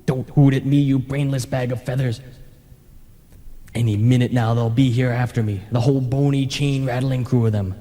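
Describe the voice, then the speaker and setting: A man's voice: mid-range voice, fairly even intonation, normal speed, warm tone, lightly acted. A man playing a grumpy, fed-up ghost in an old-time radio play, grumbling in a sardonic, put-upon way. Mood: irritated, defiant. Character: grumpy, fed-up male ghost tired of haunting. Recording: long reverb, analogue radio broadcast tape.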